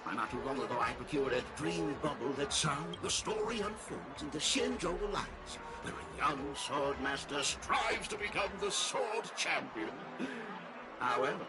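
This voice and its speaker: A man's voice: on the high side, big pitch swings, moderate pace. Swooping, showy dream salesman. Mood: showy, wheedling. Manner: theatrical, showy sales patter. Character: theatrical, wheedling salesman of dreams, slick and showy. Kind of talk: scripted game dialogue. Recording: loud music behind the voice.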